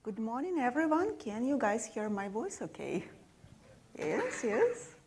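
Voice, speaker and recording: A woman with a soft voice giving a technical conference presentation, explaining steadily with frequent fillers. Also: fairly high pitch, very animated, measured pace. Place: conference session room.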